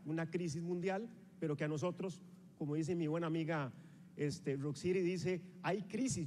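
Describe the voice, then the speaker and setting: A man in his forties with a Costa Rican accent, speaking energetically into a handheld microphone as he rallies a live audience. His voice sits high, and it rings out through the long reverb of a big convention hall. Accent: Costa Rican Spanish. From Costa Rica. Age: 40s. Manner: energetic, rallying the audience, walks the stage while gesturing. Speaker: motivational speaker at a sales rally. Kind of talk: motivational stage speech.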